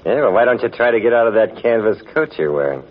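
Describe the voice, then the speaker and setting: A man's voice: on the low side, animated, fast talker, close to an everyday voice. An actor playing a dim, gloating gangster henchman with slangy tough talk in a 1940s radio drama. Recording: dull vintage 1940s radio recording.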